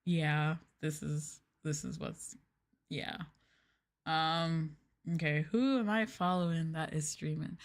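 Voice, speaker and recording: Slow, low-pitched woman chatting softly. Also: fairly even intonation. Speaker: VTuber streamer, host. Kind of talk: casual ASMR chat livestream.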